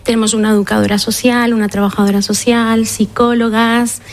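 A woman's voice: on the high side, level tone. A Colombian woman in her thirties, director of a shelter, answering interview questions earnestly with frequent restarts. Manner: earnest, measured, with repeated restarts.

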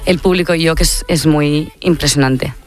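A young woman from Catalonia, relaxed and talkative as a radio guest. She chats warmly and leans on little tag questions. Faint music sits under her voice. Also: sits low, moderate pace. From Catalonia, Spain. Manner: chatty, upbeat, many fillers like "no?". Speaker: singer, interview guest. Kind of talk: casual radio interview.

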